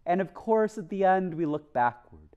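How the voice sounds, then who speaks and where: Higher-voiced male priest preaching earnestly. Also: lively intonation, dark tone, clear, resonant tone, dull audio. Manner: deliberate, earnest, with pauses for emphasis. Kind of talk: homily, measured preaching. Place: church chapel, at a lectern. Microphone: lectern microphone.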